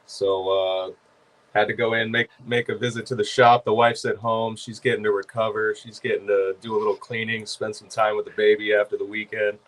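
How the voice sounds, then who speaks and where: Laid-back low-voiced man, hoarse. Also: level tone, a little fast, fairly bright tone, rough recording. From California. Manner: laid-back, rambling with fillers. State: hoarse, dry voice. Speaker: guest. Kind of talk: casual chat. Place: back room of his shop.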